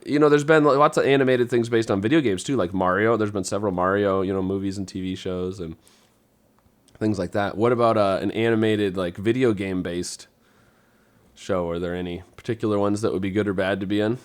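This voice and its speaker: A man's voice: fairly low pitch, steady tone, fairly quick. A middle-aged man talking casually as a podcast host, putting questions to the group. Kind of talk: casual round-table chat.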